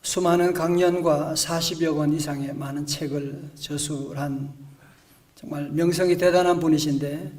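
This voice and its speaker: High-voiced pastor preaching. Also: male voice, fairly even intonation, moderate pace, far from the mic. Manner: storytelling, swings between hushed and emphatic. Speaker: senior pastor. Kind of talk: sermon. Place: church sanctuary.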